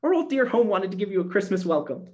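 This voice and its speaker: A man reading a storybook aloud, voicing a cheerful, eager poor little girl with a bright, pleading tone. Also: high-pitched, fast, muffled recording, pitched above the performer's own voice. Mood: bright hopeful. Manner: bright, excited, breathless pleading.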